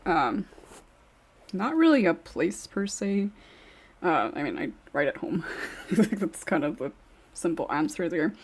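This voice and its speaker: A woman hosting a podcast in casual panel chat, reading out questions and giving her own answers. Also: on the low side. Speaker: podcast host and script writer.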